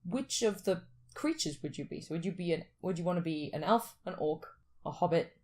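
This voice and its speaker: A woman's voice: fairly low pitch, lively intonation, fairly quick, a little mellow. A woman hosting a podcast in casual banter, playful and teasing. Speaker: podcast host.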